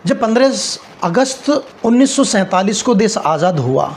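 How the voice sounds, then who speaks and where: A man with a distinctly high voice speaks directly to his listeners in a patriotic address. He is earnest and exhorting, pausing deliberately and repeating phrases for emphasis. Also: very animated, slight background noise.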